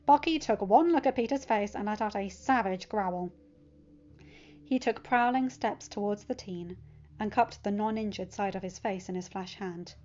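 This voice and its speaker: A woman's voice: mid-range voice, normal speed, a little mellow. A woman reading scripted fiction aloud as a story narrator. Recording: slightly dull, lo-fi sound.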